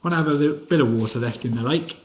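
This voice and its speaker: A man's voice: on the high side, racing, dull audio, voice pushed up, natural voice. A man doing a sniggering scrawny old crook. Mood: chummy.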